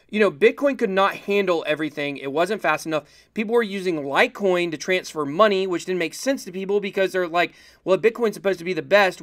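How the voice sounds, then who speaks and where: Guy in his 30s hosting a crypto-style livestream chat, kinda high voice, explains stuff casually, dry close sound. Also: a bit bright, dry recording. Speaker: livestream host. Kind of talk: casual livestream interview talk.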